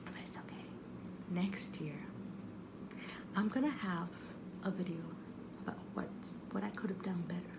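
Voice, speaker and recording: Woman, casual vlogger, creaky voice, chatty storytelling, animated quoting, noticeable background noise. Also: on the low side, normal speed, long pauses. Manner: chatty, animated retelling, acting out quotes.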